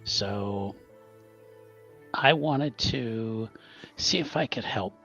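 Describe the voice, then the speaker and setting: A man improvising dialogue as a player in a tabletop roleplaying game, with halting, tentative phrasing. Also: on the low side, a bit bright, lo-fi sound, soft background music.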